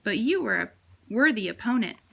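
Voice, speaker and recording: A woman voicing a grumpy older man, competitive and gruffly playful, in a storybook read aloud. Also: fairly high pitch, expressive, normal speed, pushed and bright, exaggerated voice. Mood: triumphant.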